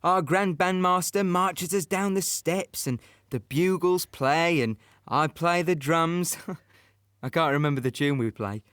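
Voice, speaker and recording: A chirpy young South London soldier with a fairly high voice. He is chatty and working-class, reminiscing in a dry, close audio play recording. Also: measured pace, fairly bright tone, clear, resonant tone, dry recording. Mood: amused, fond. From Bermondsey, London.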